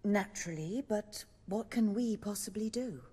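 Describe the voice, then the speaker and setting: An actress playing a poised, shrewd theatre troupe leader in a video game, speaking in a measured, formal way. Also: female voice, mid-range voice, dark tone, husky, long reverb tail, close to an everyday voice. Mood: composed, practical. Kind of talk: video game dialogue acting.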